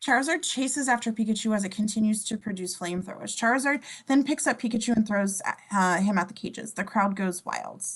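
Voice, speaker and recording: A woman's voice: mid-range voice, a little fast, hardly any pauses. Woman reading scene descriptions. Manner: stumbles over words and self-corrects. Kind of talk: amateur scripted narration.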